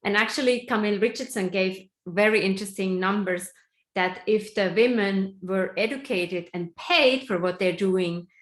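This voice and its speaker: A woman's voice: mid-range voice, moderate pace. Woman meeting host, upbeat and encouraging, roomy video-call sound. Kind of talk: hosting an online networking meeting.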